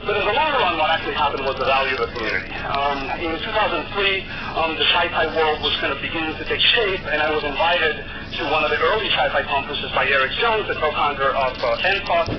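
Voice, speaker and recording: A man's voice: on the high side, expressive, fast talker, few pauses, highs cut off. Earnest man from Colombia, noisy recording. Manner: earnest, with frequent restarts and fillers. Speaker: conference speaker. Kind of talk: keynote talk.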